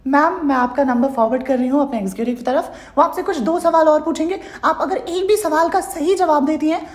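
A man's voice: extremely high pitch, brisk, pitched above the performer's own voice. A man voicing a polite, patient female call-centre agent in a prank phone call. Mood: patient, helpful. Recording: roomy indoor echo.

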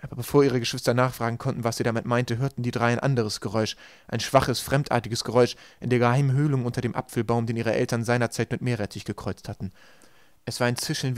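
A man's voice: medium pitch, no echo. A man reading a storybook aloud as scripted narration. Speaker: audiobook reader.

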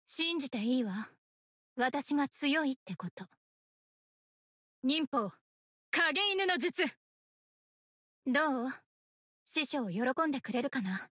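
A woman's voice: sits high, lively intonation, takes it slowly, pauses often, a bit bright, no echo, clean studio sound, full character voice. A woman voicing a devoted young female ninja in an anime game, earnest, terse and possessive of her master. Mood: solemn, confident, then shy, hopeful. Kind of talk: Japanese anime game character voice lines.

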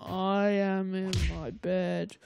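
A woman's voice: mid-range voice, little pitch movement, very slow, gravelly, over music. A woman playing a rude, tired, impatient taxi driver and ticket officer in an amateur radio play. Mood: grumpy, impatient. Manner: blunt, impatient, bossy. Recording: faint background noise.

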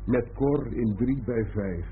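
A man's voice: medium pitch, slow pace, natural voice. An actor playing a wry, possessive middle-aged father in a radio play, sardonic and teasing, full of rhetorical questions. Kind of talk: naturalistic radio play acting. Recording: tinny, band-limited old radio recording with noise.

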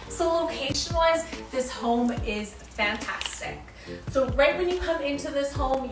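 Woman, real estate agent, cheerful tour guide, higher voice, distant roomy sound with music bed. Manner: upbeat, repeats words for emphasis. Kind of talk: walkthrough house tour. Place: inside a house, walking room to room.

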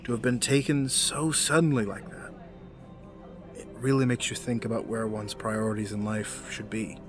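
An actor playing a middle-aged businessman villain in an audio drama, formal, measured and condescending. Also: on the low side, flat delivery, music in the background, natural voice. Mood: veiled menace.

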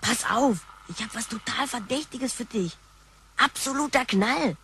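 Casting: cheeky future girl, fairly high, forceful bright voice, blunt and impatient, radio play, faint noise behind. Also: expressive, a little slow. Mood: conspiratorial, grumbling. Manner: brash, blunt, exclamatory. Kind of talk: German sci-fi radio drama acting.

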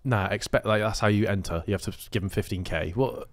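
A young British man with a very low voice talks casually on a podcast. He explains things matter-of-factly, and the recording is close and very dry. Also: fairly quick, no echo. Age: 20s. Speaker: podcast co-host. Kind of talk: casual chat. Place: small office-style studio, indoors. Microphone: close podcast microphone on stand.

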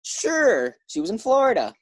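A woman's voice: a little high, wildly swooping pitch, normal speed, no room sound. A woman playing an eager, bumbling young husband in a 1940s-style radio comedy. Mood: nervous. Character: young husband George, eager bank clerk.

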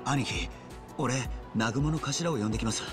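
An actor playing an earnest young yakuza underling in a video game, respectful yet wry, with rough clipped deference. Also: male voice, medium pitch, normal speed, over music, natural voice. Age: young adult. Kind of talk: video game cutscene acting.

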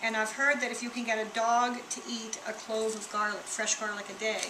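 A woman with a fairly high voice explains her garden eagerly and chattily, often rushing ahead. She runs a farm stand. Her voice rings in an echoey room with noticeable noise behind it. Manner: chatty, explains eagerly, quickens when excited. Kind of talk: casual explanation to a visitor. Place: inside a small farm stand.

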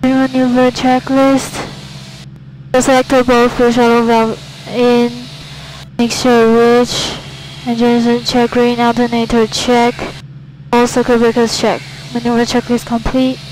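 A woman's voice: a little high, measured pace, poor audio. A young woman, a student pilot, talking casually to herself and reciting checklists as she flies. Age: young adult. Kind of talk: casual self-talk while flying, reciting checklists. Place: small airplane cockpit in flight. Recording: steady cockpit engine noise.

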